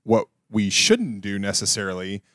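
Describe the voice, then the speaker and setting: A man's voice: on the low side, sing-song, normal speed, fairly bright tone, dry recording. Guy cohosting a chat, jokey and laughing, dry sound. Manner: playful, laughs easily. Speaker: podcast co-host. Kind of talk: casual chat.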